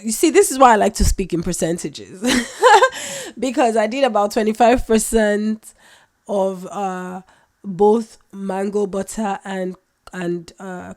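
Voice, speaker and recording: Woman host, has a cold, mid-pitched, chatty and self-correcting. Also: darkish tone. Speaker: skincare maker, channel host. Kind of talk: casual tutorial vlog.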